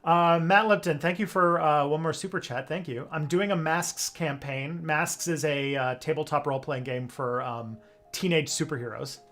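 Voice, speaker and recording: A man's voice: fairly high pitch, fairly even intonation, normal speed, a bit bright, soft background music. A man hosting a livestream in casual, joking chat full of fillers. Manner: chatty, filler-heavy, joking. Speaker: livestream host.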